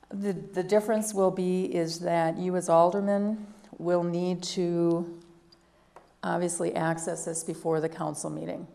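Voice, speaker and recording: Gravelly, low-voiced woman explaining procedure. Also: warm tone. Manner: practical, matter-of-fact explaining with frequent "um". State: voice deeper than usual today. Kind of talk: explaining procedure at a public meeting. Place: council meeting room.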